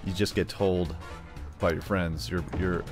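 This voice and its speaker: A man's voice: deep voice, steady tone, unhurried. An American man giving casual gameplay commentary, playful and rambling as he thinks aloud. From United States. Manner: playful, often slips into put-on drawls. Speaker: gaming video host. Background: background music.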